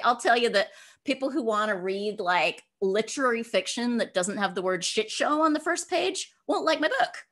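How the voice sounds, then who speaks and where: A middle-aged woman giving conversational interview answers as a guest author, animated and given to acting out quotes. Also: on the high side. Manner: animated, acts out imagined quotes.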